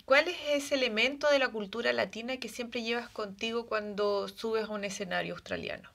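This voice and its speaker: Lively female radio host, higher voice. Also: creaky. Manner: warm interviewer, quick affirmations and bright reactions. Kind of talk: interviewing a guest.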